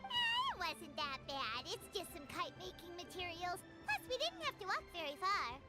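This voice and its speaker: A tiny floating fairy sidekick from a video game, perky and quick to take offence. The voice is extremely high, squeaky and childlike, leaping up and down, with loud music underneath. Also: female voice, wildly swooping pitch, heavily acted. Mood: modest. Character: small floating childlike fairy companion, perky, easily indignant. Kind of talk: video game character acting.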